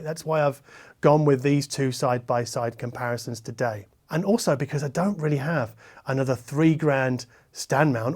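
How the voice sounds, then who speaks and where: Male review host, voice a little on the high side, measured, explanatory, conversational delivery to camera. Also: a little mellow. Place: treated listening room, six by five meters.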